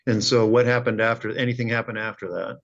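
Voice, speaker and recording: A man speaking as a judge, questioning people in an informal, halting, conversational way during a hearing. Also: mid-range voice, steady tone, creaky. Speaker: judge presiding over a hearing. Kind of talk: courtroom questioning. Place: courtroom. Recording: muffled, lo-fi courtroom audio.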